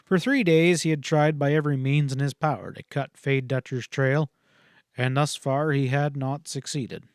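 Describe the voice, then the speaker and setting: A man's voice: mid-range voice, fairly even intonation, normal speed, clean tone, dry recording, studio quality. A man reading a western novel aloud as scripted audiobook narration.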